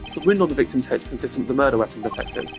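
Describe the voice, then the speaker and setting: A man voicing a stern, pompous video game prosecutor who speaks formally and gives sharp commands. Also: medium pitch, animated, very fast, lightly acted. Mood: imperious. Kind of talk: theatrical voice acting of video game dialogue. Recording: music behind the voice.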